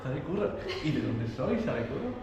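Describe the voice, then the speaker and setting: A man's voice: a little high, fast talker, muffled recording, slight background noise. A man in his forties hosting a travel vlog, interviewing dance teachers with eager, encouraging energy. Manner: lively, eager, frequent encouraging backchannels. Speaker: host. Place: bar and dance hall. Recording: echoey hall with music behind.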